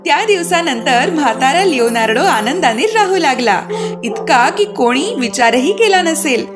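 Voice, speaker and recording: A woman narrating a scripted children's fairy tale as an expressive storyteller. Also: sits high, moderate pace. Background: music.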